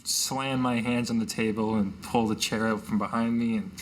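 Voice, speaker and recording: A man's voice: on the low side, steady tone, normal speed, a little hiss or hum, poor audio. A man improvising casually as a player in a tabletop game, a little hesitant and wry.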